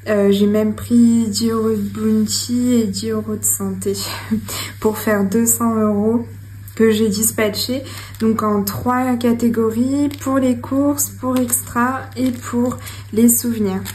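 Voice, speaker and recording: Young woman vlogging about her budget, slow and chatty, lots of "euh", little giggles, faint background noise. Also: fairly high pitch, fairly even intonation, a little mellow. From France. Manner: chatty, hesitant with many fillers, giggly. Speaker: budgeting channel host. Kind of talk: casual talk to camera while writing. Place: home room with her cat.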